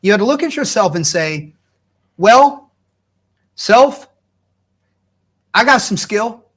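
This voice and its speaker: A man's voice: sits high, expressive, slow, fairly bright tone. A middle-aged man hosting a talk show, encouraging his guest and restating the guest's points in his own words. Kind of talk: faith-based talk show conversation.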